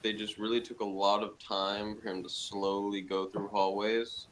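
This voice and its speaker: A man's voice: fairly low pitch, flat delivery, normal speed, a bit bright. A man giving a blunt, dismissive review in casual conversation. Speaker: podcast reviewer. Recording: clearly noisy background.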